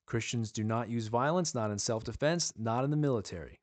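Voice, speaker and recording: Man, earnest preacher, pleading rhetorical questions, mid-pitched voice, dry close sound. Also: brisk, no echo. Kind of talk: earnest sermon-style teaching.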